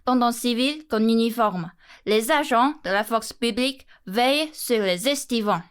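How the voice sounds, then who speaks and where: A formal town crier played by a young woman with a smooth, crisp, fairly high voice. The delivery is declaimed and official, as in a radio play. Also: a little slow, natural voice. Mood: solemn, official. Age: young adult.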